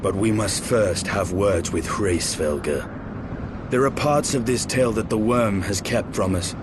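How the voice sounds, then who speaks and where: A man playing a proud, brooding dragoon knight in a video game cutscene, with formal, measured and archaic delivery. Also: lowish voice, background noise, lightly acted. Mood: proud resolve. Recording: game audio under loud music. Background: loud orchestral game music.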